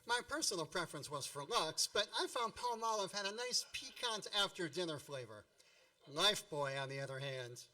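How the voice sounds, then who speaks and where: A bright, slightly high-pitched man's voice, heard from off the microphone. An actor plays a nostalgic radio-play narrator who tells boyhood troubles with wry, mock-epic grandeur. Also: animated, normal speed. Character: nostalgic grown man wryly retelling his boyhood mishaps. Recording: distant microphone.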